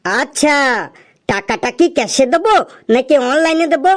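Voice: sits high, expressive, moderate pace. An actor playing a wheedling, cheeky freeloading customer in a comedy cartoon, teasing in a mock-earnest way. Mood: teasing, stalling.

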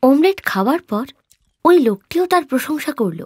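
A woman narrating an animated moral tale in a scripted storytelling style. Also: high-pitched, moderate pace, no room sound, studio quality.

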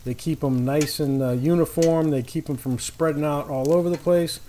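Easygoing man over loud griddle sizzle. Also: on the high side, fairly even intonation, normal speed. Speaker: cooking show host. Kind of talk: casual cooking demonstration. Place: outdoor paved patio beside a griddle. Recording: clear sizzling griddle noise behind voice. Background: frying and sizzling food.

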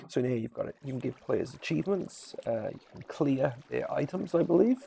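A man's voice: medium pitch, creaky, mellow, husky. A man giving a casual, unscripted video game tutorial, informal and a little hesitant as he thinks aloud. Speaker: gamer presenting a video walkthrough.

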